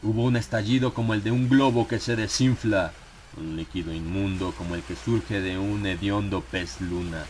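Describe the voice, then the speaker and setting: A man narrating a dramatized horror audiobook in a grave, theatrical manner with dramatic pauses. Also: lowish voice, noisy background. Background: background music.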